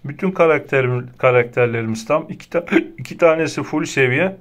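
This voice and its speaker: Casual male gamer with a middling pitch. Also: fairly quick. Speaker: gaming video creator. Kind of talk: casual gameplay commentary. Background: game music.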